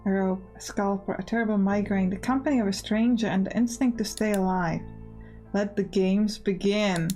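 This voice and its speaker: A woman's voice: medium pitch, steady tone, normal speed, dark tone, faint music behind. A woman hosting a gaming let's play, chatting and reading game text aloud. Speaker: gaming channel host.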